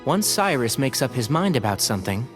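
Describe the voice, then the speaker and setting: A man's voice: mid-range voice, very animated, brisk, music in the background, natural voice. An actor playing a loyal young male scholar who talks in a plain, agreeable way. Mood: earnest. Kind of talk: video game voice acting.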